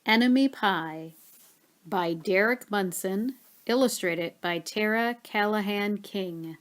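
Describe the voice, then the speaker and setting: A woman reading a children's story aloud expressively, with dramatic pauses and stretched key words. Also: medium pitch.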